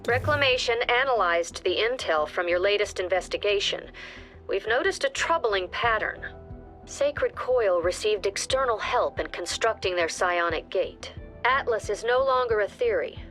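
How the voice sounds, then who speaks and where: An actress playing the authoritative director of a tactical squad in a video game, giving measured, firm mission briefings. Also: female voice, medium pitch, fairly bright tone.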